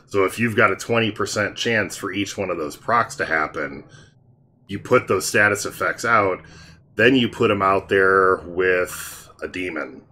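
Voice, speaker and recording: Low-voiced man explaining game strategy. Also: unhurried, a little hiss or hum. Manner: relaxed, explains strategy in detail. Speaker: gaming channel host. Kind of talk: casual gameplay commentary.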